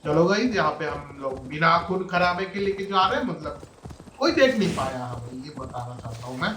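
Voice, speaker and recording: A man's voice: on the high side, animated, rapid-fire, pushed and bright. A man with an Indian accent giving casual gameplay commentary as host, informal, slangy and crude. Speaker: gaming video host, player. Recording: roomy voice over noisy game audio. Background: game ambience, horse hooves.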